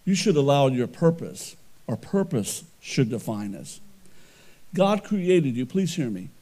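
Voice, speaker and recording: Loud, earnest preaching man. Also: mid-range voice, very animated, a little slow. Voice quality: loud voice that carries. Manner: earnest, conversational preaching with repeated restarts. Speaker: pastor. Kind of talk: sermon.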